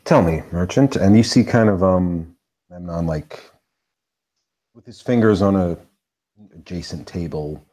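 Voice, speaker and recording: A man's voice: sits low, measured pace, pauses often, warm tone. A man narrating as game master in a tabletop game, hesitant and full of fillers.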